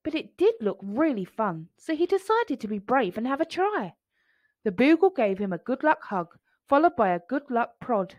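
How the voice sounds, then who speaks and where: A woman's voice: a little high, sing-song, moderate pace, no room sound, highs cut off. A woman reading a children's picture book aloud in a storytelling style.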